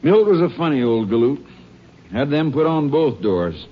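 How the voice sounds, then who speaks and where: A man acting as a country sheriff in an old radio western. He has a folksy Texan drawl and a wry temper that turns gruff when crossed. The sound is muffled vintage broadcast, his pitch mid-range. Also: big pitch swings, moderate pace, natural voice. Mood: matter-of-fact.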